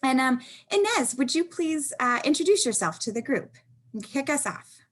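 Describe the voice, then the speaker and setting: A woman's voice: high-pitched, big pitch swings, moderate pace, a bit bright. A woman speaking as host of an online panel discussion. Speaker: host, executive director.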